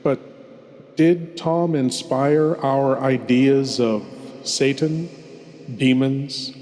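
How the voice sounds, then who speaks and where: A man hosting a horror show with scripted, theatrical, deliberate and mock-ominous delivery. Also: medium pitch, steady tone, darkish tone. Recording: long cavernous reverb.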